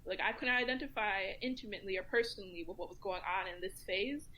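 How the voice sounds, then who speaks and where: A woman's voice: mid-range voice, fast talker, pushed and bright, a little hiss or hum, rough recording. A woman speaking as a podcast guest, reflective and casual, thinking aloud as she talks. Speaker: podcast guest, community commentator. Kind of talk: casual round-table discussion, thinking aloud.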